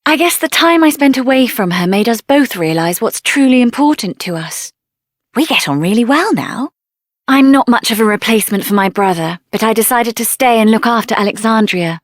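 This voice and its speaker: A woman voicing a spirited, headstrong young heroine in a fantasy video game, speaking in an earnest, expressive, plain-spoken way. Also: fairly high pitch, moderate pace, no room sound, studio quality. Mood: warm, chatty. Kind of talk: video game character voice acting.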